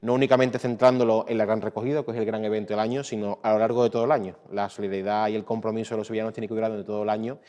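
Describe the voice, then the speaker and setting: A man's voice: on the low side, little pitch movement, very fast, hardly any pauses, dark tone, lo-fi sound. A man giving a statement to the press, speaking fluently with occasional fillers.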